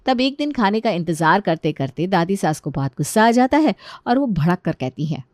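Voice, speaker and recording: A woman's voice: on the low side, sing-song, normal speed, darkish tone, no echo, clean studio sound. A woman narrator reading a scripted story.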